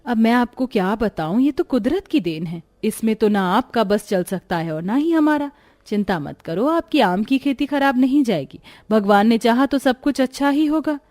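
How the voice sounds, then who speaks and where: A woman's voice: a little high, expressive, moderate pace, darkish tone, lightly acted. A woman voicing a middle-aged farmer's wife in a village story, practical and concerned, ready to chide. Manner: concerned, chiding.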